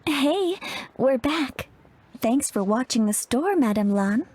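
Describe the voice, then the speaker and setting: A woman's voice: high-pitched, lively intonation, slow pace, darkish tone, slight background noise. An actress playing a friendly, easygoing female townsperson in a video game, conversational and obliging.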